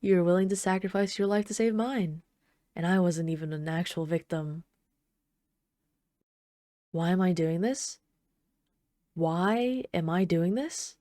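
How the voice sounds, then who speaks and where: A mellow, dark-toned villainess played by a woman speaks close and very dry, as in an ASMR roleplay. She is an obsessive yandere bomber, soft and unhurried, teasing her captive hero with casual menace in a lowish voice. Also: dry recording, lightly acted. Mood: earnest, bitter. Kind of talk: ASMR roleplay, intimate scripted monologue.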